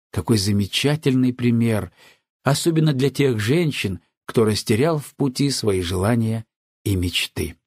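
Husky man reading an audiobook. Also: mid-range voice, a little mellow, dry recording, clean studio sound. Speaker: audiobook narrator. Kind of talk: scripted audiobook narration.

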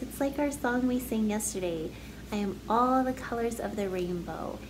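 A woman in her thirties reading aloud and talking to young children like a teacher, in an expressive, deliberate, sing-song manner. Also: mid-range voice, noisy background. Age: 30s. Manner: slow, deliberate, animated teacher delivery addressing children. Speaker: first grade teacher hosting a children's story time. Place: living room at home, indoors.

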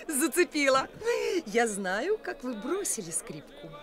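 Young provincial woman, very high lively voice, impulsive, teasing, mocking, radio drama. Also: takes it slowly, very noisy, exaggerated voice. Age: young adult. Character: capricious, teasing, bored young provincial merchant's daughter. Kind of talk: radio play acting.

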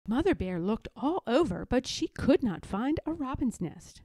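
A woman narrates a children's story at a slow, unhurried pace. Her pitch moves in a lively way, and the recording is close and dry. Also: dry recording. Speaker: storybook reader. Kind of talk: expressive children's read-aloud narration.

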